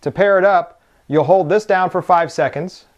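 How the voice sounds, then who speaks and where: A man's voice: high-pitched, normal speed, muffled recording. A man reviewing a product hands-on, talking informally and explaining things step by step. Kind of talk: casual unboxing and hands-on demo talk.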